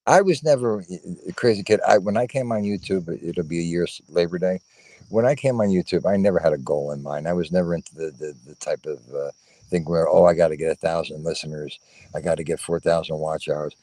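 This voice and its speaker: Deep-voiced man talking over a phone. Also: dark tone. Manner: earnest, repeats his points, halting restarts. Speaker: guest, fellow show host. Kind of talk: casual livestream chat.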